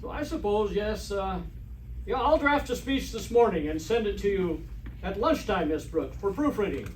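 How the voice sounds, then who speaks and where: Man playing a pompous, high-pitched school principal. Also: lively intonation, normal speed, recorded from a distance. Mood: self-satisfied. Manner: pompous, formal, blustery. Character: pompous, vain, bossy middle-aged school principal. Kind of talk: old-time radio comedy acting. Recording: roomy stage recording from afar, hiss.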